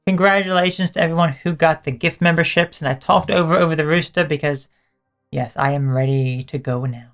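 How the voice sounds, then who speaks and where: A hoarse woman streamer chatting casually and teasingly with her viewers as she wraps up a live stream, often correcting herself mid-sentence. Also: low-pitched, fairly quick, soft background music. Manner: rambling, self-correcting, teasing chat toward viewers.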